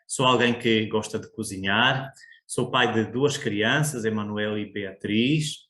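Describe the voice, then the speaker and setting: A man's voice: lowish voice, slow, a bit bright. Portuguese man with a roomy echo. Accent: European Portuguese, Coimbra. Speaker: guest, school coordinator. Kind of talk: conversational podcast interview.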